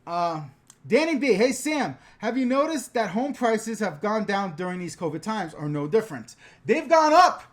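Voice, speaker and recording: A man's voice: high voice, very animated, moderate pace. A middle-aged man from the New York and New Jersey area, hosting a casual live-stream Q&A and recording on a GoPro camera. He is chatty and jokes a lot, often laughing as he reads out viewer questions. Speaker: host answering viewer questions.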